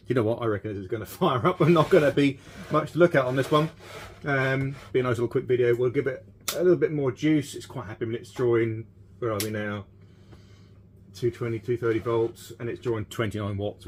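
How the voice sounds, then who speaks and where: A British man in his fifties chatting casually and thinking aloud as he gives a hands-on workshop demonstration. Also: mid-range voice. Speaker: vintage radio repairer presenting a video. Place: small cluttered electronics workshop, indoors. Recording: clearly noisy workshop with background ambience.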